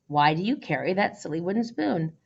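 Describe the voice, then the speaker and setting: A woman voicing a calm, gracious young prince in a storybook, admiring and patient, explaining gently and in a measured way. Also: fairly low pitch, vocal fry, husky, dull audio, heavily acted. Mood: curious. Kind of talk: storybook character voice.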